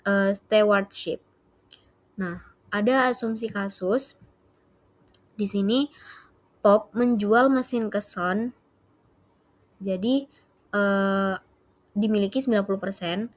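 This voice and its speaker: A young adult woman gives an explanatory class presentation as a student, halting and correcting herself as she goes. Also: mid-range voice, fairly even intonation. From Indonesia.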